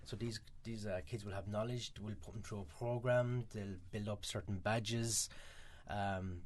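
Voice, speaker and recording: Chatty Irish man, low voice. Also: fairly even intonation. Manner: quick, chatty, many "um" fillers. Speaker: guest from a mental health charity. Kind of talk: radio interview answers.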